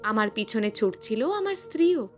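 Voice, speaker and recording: A woman's voice: fairly high pitch, very animated, moderate pace, darkish tone, soft background music. A woman narrating a scripted suspense story in a dramatic, emphatic storytelling manner.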